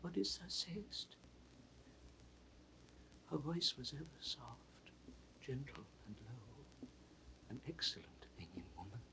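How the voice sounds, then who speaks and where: A man's voice: medium pitch, very animated, slow, long pauses. An actor playing a frail, grief-maddened old king in a classical Shakespeare audio drama, swinging from anguished cries to broken whispers. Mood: broken, fading. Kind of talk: 1960s recorded Shakespeare drama, classical stage acting. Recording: distant, noisy vintage drama recording.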